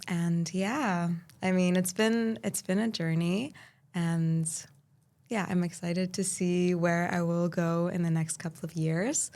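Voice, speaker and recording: A woman from Turkey and Cyprus, raised in Saudi Arabia, speaking as a poet guest in a relaxed interview and pausing often to think. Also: fairly low pitch. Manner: thoughtful, pauses often with "um". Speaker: podcast guest, spoken word poet. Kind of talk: casual conversational interview.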